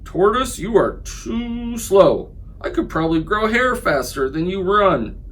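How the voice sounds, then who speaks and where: A man voicing a cocky storybook rabbit who mocks a tortoise in a loud, raised voice. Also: high voice, sing-song, measured pace, slight background noise, pitched above the performer's own voice, exaggerated voice. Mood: mocking. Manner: loud, mocking. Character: cocky, teasing male rabbit.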